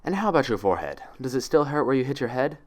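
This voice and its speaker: Guy putting on an older unicorn nurse lady, pitched up, brisk n cheerful, storybook reading. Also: big pitch swings, darkish tone, close to an everyday voice. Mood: brisk, clinical. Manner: brisk, cheerful, matter-of-fact.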